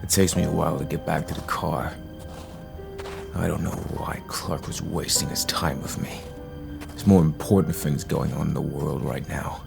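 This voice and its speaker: An actor playing a bitter, stubborn, brooding male vigilante in an audio drama, with a low, gravelly voice and a terse, grim, halting delivery. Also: fairly even intonation, over music, full character voice. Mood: weary, brooding.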